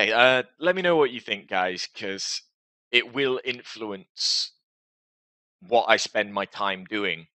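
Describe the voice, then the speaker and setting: A man's voice: on the low side, sharp tone, dry recording. A man talking casually and hesitantly to his viewers as a gaming video host, with a self-deprecating, rambling manner.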